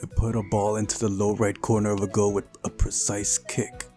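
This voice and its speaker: A man with a fairly low voice reads through a visual novel as a let's play host. He slips between narration and incredulous, exasperated commentary, with game music faintly behind him. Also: completely flat delivery, brisk, dark tone. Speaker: video game playthrough host.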